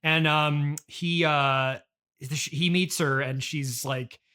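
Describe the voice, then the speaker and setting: A man's voice: fairly high pitch, fairly even intonation, slow pace, sharp tone, smooth. A man hosting a casual, improvised comic chat, talking in a hesitant, rambling, self-conscious and agreeable way. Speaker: podcast host.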